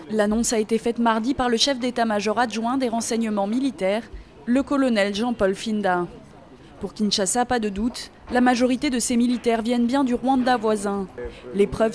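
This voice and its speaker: Female news reporter, higher voice. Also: a little mellow.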